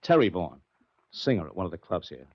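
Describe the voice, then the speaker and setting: A man's voice: deep voice, big pitch swings, brisk, dry recording. A male American actor playing a worried, plain-spoken betting-office boss in 1940s radio drama, slangy and emphatic. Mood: frustrated. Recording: dull, dry old radio recording.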